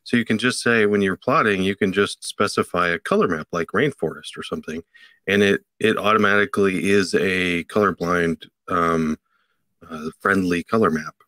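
A middle-aged man co-hosting a podcast, explaining a tech item in a halting way with many fillers, from a home office on a video call. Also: low-pitched, bright, forceful tone, dry recording. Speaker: podcast co-host. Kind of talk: casual tech chat.

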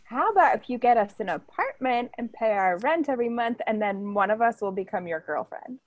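A woman's voice: fairly high pitch, lively intonation, a little fast, highs cut off, natural voice. A woman reading a sly, scheming ex-convict diner worker in a comedy table read. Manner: sly, wheedling.

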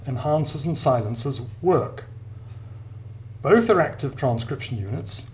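A man giving an explanatory science narration as an academic lecturer, in a measured, deliberate manner with pauses between phrases. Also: a little high, a little mellow. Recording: clear background hiss and hum.

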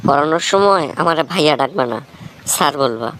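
Woman voicing a bossy big brother, lowish voice. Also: animated, brisk, background noise. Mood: bossy, stern. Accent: Bangladeshi. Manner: stern, sarcastic, lecturing. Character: bossy, scolding young village big brother turned tutor. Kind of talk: amateur cartoon dialogue.

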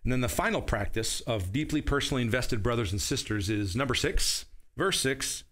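A man's voice: mid-range voice, animated, brisk, a bit bright. Middle-aged guy preaching to camera, earnest, walks through verses, asks if you're tracking. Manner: earnest teaching tone, explains verses and checks in with listeners. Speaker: pastor. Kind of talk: sermon. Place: small studio set with a wooden wall. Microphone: seated at a table, camera at medium distance.

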